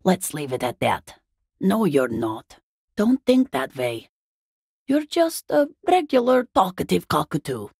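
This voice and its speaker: A tired, worried middle-aged woman, a working-class mother in a dramatic video game. Her voice is low and gravelly, and she speaks slowly and plainly, with halting, subdued delivery. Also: wildly swooping pitch, darkish tone, no room sound, clean studio sound, full character voice. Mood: dry, weary. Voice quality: husky, gravelly.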